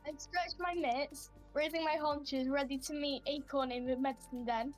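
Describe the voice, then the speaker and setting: A woman's voice: sits high, fairly even intonation, moderate pace, soft background music. A woman taking part in a game roleplay, narrating her character's actions in the first person. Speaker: roleplay participant.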